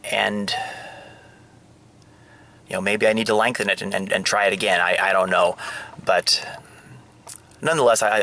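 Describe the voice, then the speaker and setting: A man giving a casual, step-by-step hands-on demonstration, speaking hesitantly and haltingly. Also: lowish voice, bright, forceful tone. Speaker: hobbyist showing a radio install. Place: in or at a vehicle.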